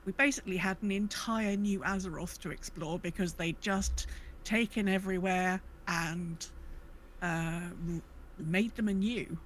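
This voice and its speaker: A woman's voice: lowish voice, unhurried, slight background noise, rough recording. A British woman co-hosting a podcast, chatting casually and informally about a video game. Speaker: podcast co-host.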